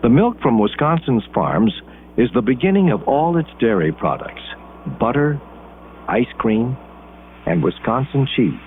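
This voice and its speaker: A man with an American accent narrating an educational documentary in measured, deliberate phrases with dramatic pauses. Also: mid-range voice, sing-song, a little hiss or hum. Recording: muffled band-limited 1980s film soundtrack. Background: background music.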